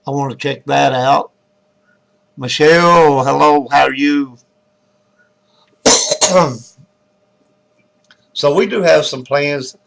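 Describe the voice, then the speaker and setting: A hoarse, raspy man with a Southern US accent, hosting a live talk show in a loose, chatty way and greeting viewers by name. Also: fairly high pitch, takes it slowly, pauses often, fairly bright tone. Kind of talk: casual rambling live-stream chat.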